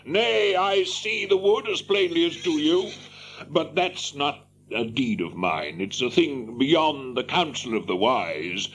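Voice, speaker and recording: An actor playing a wise, cryptic old wizard in a radio fantasy drama, theatrical and deliberate. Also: male voice, fairly high pitch, expressive, a bit bright, slight background noise, heavily acted, low-quality recording. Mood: musing, mysterious.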